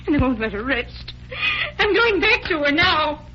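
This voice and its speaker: A woman's voice: high-pitched, big pitch swings, normal speed, bright, forceful tone, full character voice. A woman playing a solemn, grieving, fiercely loyal housekeeper in a 1940s radio drama. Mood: grieving, agitated. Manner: solemn, ominous, insistent. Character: grieving, forbidding female housekeeper, fiercely loyal to her mistress. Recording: muffled, noisy 1940s radio recording.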